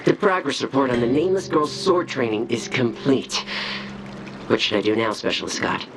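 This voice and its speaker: A man's voice: medium pitch, expressive, normal speed, creaky, over music, rough recording. A man voicing a reluctant, bored corporate underling, deferential but grumbling, in an anime-style game. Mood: reluctant, dutiful.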